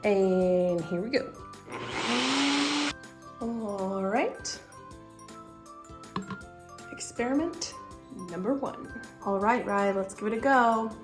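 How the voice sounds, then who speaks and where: Raspy-voiced young woman, sick and hoarse. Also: mid-range voice, takes it slowly, pauses often, over music. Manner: chatty and upbeat. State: hoarse from illness. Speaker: vlogger. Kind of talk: casual recipe vlog. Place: living room at home, indoors.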